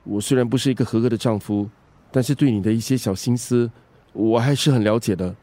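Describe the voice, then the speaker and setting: Man acting middle-aged ex-husband, mellow dark tone, dry close sound, earnest, repentant, radio drama. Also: mid-range voice, brisk, no echo, close to an everyday voice. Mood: wounded. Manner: earnest, conciliatory.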